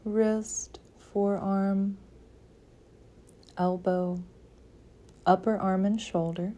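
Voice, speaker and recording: Woman, meditation guide, mid-pitched, unhurried slow delivery, calm, soothing, gentle, long pauses. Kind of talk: guided yoga nidra meditation.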